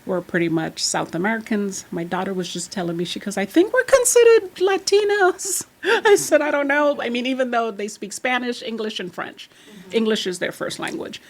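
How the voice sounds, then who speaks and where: Husky, gravelly woman. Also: fairly high pitch, normal speed. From Trinidad and Tobago. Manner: chatty and animated, laughs while telling stories. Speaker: podcast guest, realtor. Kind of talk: casual storytelling chat.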